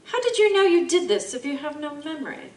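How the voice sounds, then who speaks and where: Woman acting a high-voiced formal counsellor, echoing room. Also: lively intonation, fairly quick. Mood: questioning. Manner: formal, polite, reasoning. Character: courteous, reasoning woman questioning and counselling a troubled scientist. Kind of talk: formal period audio drama acting. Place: live convention performance in a room. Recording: roomy, noisy live stage recording, distant mic.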